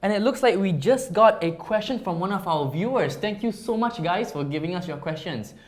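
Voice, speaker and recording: A woman's voice: on the low side, expressive, fairly quick. A young woman, herself a student, hosting a live chat in a bubbly way, laughing easily and stumbling over repeated words. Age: young adult. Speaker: host.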